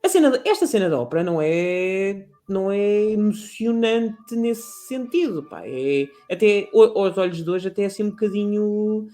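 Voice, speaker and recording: Portuguese guy with a highish voice, rambling excitedly about old games, lots of restarts. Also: normal speed, few pauses. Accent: European Portuguese. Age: adult. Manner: animated, rambling with restarts and fillers. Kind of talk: casual gaming chat.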